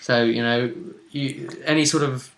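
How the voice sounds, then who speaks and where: A man in casual chat about a tabletop game, speaking thoughtfully and drawing out his words. His voice is bright and sharp. The recording carries a roomy echo. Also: mid-range voice, steady tone, gravelly. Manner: thoughtful, with frequent restarts and drawn-out words. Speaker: game master and co-commentator.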